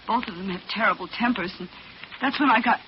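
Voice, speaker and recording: A woman's voice: medium pitch, a little fast, close to an everyday voice. An actress playing an anxious, earnest female studio secretary in a 1940s radio drama, with breathless, worried delivery. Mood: frightened. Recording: noisy, dull old 1940s radio recording.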